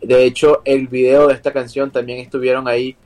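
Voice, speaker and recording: A man's voice: mid-range voice, flat delivery, a little fast, a little mellow, low-quality recording. Venezuelan man, hesitant, full of fillers. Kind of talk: interview over a video call. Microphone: video call.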